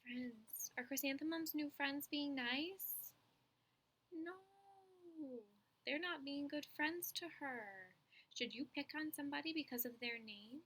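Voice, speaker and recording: A woman reading a picture book aloud to children in an expressive, teacherly way, pausing to put questions to her young listeners. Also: sits high. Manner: addresses young listeners as friends, instructive.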